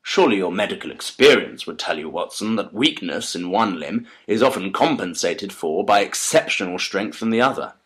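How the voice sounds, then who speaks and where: An actor playing a keen, analytical detective in a dramatised audiobook, speaking articulately and emphatically in formal period diction. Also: male voice, low voice, animated, normal speed, hardly any pauses, a bit bright. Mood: measured, explanatory.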